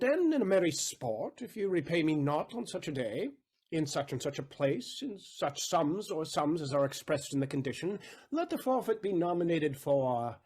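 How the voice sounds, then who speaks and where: An actor playing an aggrieved, wily moneylender in a Shakespearean audio drama, deliberate, rhetorical and sardonic in manner. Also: male voice, fairly high pitch, darkish tone, husky.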